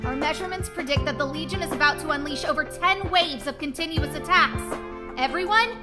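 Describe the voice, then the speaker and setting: A woman's voice: sits high, a little fast, natural voice. An actress playing an earnest young woman lead researcher, composed but worried, polite and formal, in an anime game. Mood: alert, commanding. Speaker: game character, space station lead researcher. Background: game background music.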